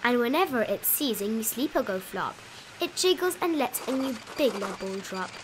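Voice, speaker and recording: A woman reading a rhyming children's picture book aloud in an expressive sing-song manner. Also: on the high side, moderate pace, background noise. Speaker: storybook reader.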